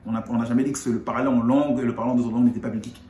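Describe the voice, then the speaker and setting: Man explaining scripture in an echoey room. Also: very fast. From Congo. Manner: explanatory, animated pitch rises on key points. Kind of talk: explaining scripture in casual chat.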